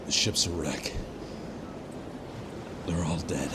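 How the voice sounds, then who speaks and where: Deep-voiced, brooding space soldier. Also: male voice, steady tone, takes it slowly, big-room sound, heavy background noise. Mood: grim, shaken. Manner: brooding, gritty, swears. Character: weary space soldier recording a grim personal log. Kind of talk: audio drama log monologue.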